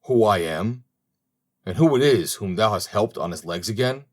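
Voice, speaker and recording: A man's voice: lowish voice, expressive, normal speed. Storybook Death, played by a male reader: stern male spirit, formal old-fashioned phrasing, solemn authority. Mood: solemn, declaring. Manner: formal, archaic, commanding speech.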